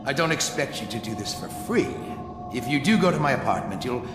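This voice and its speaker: A bitter, ruined businessman bent on revenge , acted by a man in a video game. He speaks formally but with lively swings of pitch, scheming intently, his voice echoing over music. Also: brisk, lightly acted. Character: bitter, vengeful ruined businessman plotting payback. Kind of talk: scripted game dialogue. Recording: echoey reverb with music behind.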